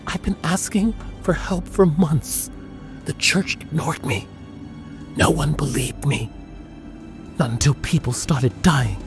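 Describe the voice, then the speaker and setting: An anguished, frightened woman performed by a man in a horror audiobook. The voice sits high, hushed and breathy, with a trembling, pleading edge and bitterness. Music plays underneath. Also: lively intonation, takes it slowly, pauses often. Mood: bitter, desperate. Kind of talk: dramatic horror audiobook dialogue.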